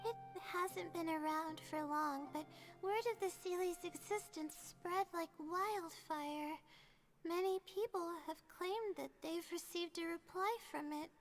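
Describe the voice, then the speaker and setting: A woman's voice: very high-pitched, a little slow. A woman voicing a timid, anxious young student in a video game, speaking politely and hesitantly. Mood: anxious, hopeful. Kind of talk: video game character dialogue. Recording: game music behind the voice.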